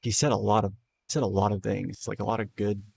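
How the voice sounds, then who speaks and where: A tired, hoarse man streaming a game, rambling in loose casual talk and swearing freely. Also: on the low side, level tone, brisk, a little mellow. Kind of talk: casual live-stream chat.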